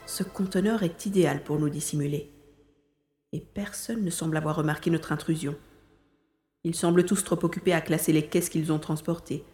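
A woman's voice: low voice, mellow, reverberant, close to an everyday voice. A woman playing a determined young boy hero in an audio drama, thinking aloud as he acts. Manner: thinks aloud, narrating own actions.

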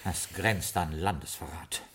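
A man's voice: deep voice, measured pace, fairly bright tone, slight background noise. An actor playing a formal, dryly ironic butler in his late forties in a 1950s radio drama. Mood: deferential dry. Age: 40s.

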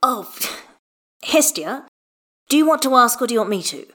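A woman's voice: on the high side, moderate pace, voice pushed up. A woman voicing a wry, long-suffering father, dry and a little exasperated, in an audio story. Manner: wry, exasperated, flatly sarcastic.

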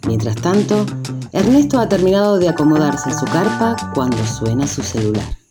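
A woman's voice: low-pitched, big pitch swings, normal speed. A woman as narrator of a radio drama, reading scripted scene descriptions. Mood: narrating. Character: storytelling female narrator of a radio play. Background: music.

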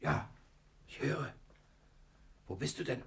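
An actor playing an easygoing, wily adventurer hiding out in jail, laid-back, chummy and wry, in 1960s German radio crime drama style. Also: male voice, mid-range voice, expressive, slow, a little hiss or hum. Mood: wry, curious.

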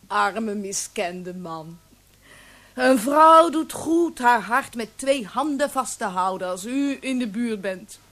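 Female shrewd, saucy innkeeper in radio play; high voice, teasing, self-assured. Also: animated, takes it slowly, a bit bright, exaggerated voice. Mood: teasing, amused. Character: saucy, warm-blooded, shrewd female tavern keeper. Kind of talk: Dutch radio play acting.

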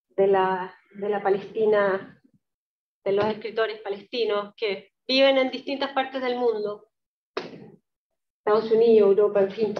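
Slow-speaking woman lecturer, distant and echoey. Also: medium pitch. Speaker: lecturer presenting Palestinian poetry. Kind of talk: public talk with poem readings. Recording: roomy, distant, dull lo-fi pickup.

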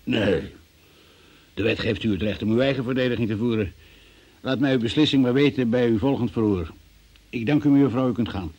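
A dull, muffled old radio recording carries an actor as a formal examining magistrate. The man speaks in a mid-range voice with brisk, official, procedural phrasing, businesslike throughout. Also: medium pitch, darkish tone, husky, natural voice. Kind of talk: radio play acting.

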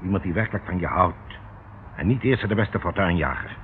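A man's voice: deep voice, a little fast, lightly acted. An actor in his fifties playing a coaxing, evasive ship captain in a radio drama. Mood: coaxing, secretive. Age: 50s. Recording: tinny band-limited old radio recording, noisy.

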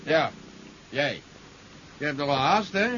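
A man's voice: fairly high pitch, sing-song, takes it slowly, bright, forceful tone, full character voice. An actor playing a suspicious, curt policeman in a 1970s radio drama. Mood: suspicious, stern. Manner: curt, interrogating. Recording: dull, noisy 1970s radio recording.

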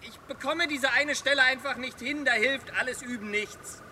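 An actor playing a fretful, defiant concert pianist in a satirical radio play. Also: male voice, extremely high pitch, moderate pace, noisy background. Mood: defiant exasperation.

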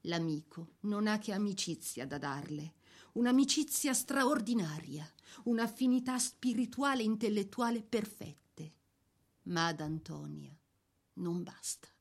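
A woman's voice: medium pitch, expressive. Solemn woman narrator. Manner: solemn, measured storytelling with deliberate pauses. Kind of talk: scripted dramatic biographical narration for radio.

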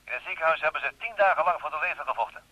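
An actor playing a wry, bantering detective in a radio play, familiar and teasing in manner. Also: male voice, on the high side, racing, sharp tone, no room sound. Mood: wry, businesslike. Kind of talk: Dutch radio play acting. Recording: dry, slightly dull radio play recording.

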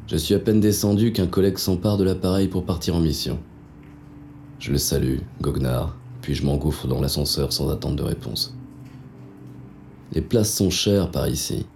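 An actor playing a cynical, contemptuous police inspector who narrates a noir audio drama in a deadpan, sardonic, gravelly voice. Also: male voice, a bass voice, moderate pace, slight background noise. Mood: brooding, smug.

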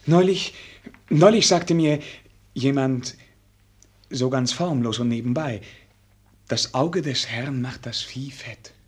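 A man's voice: mid-range voice, slow pace, pauses often, husky. A young man acting an ardent, restless, lovestruck prince in a German radio play, with formal, poetic diction. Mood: guarded, polite.

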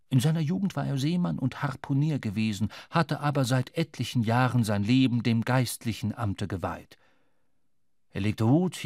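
A man playing a reflective seafaring storyteller, narrating a radio play in a measured, literary way. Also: mid-range voice, gravelly, darkish tone, no room sound, studio quality.

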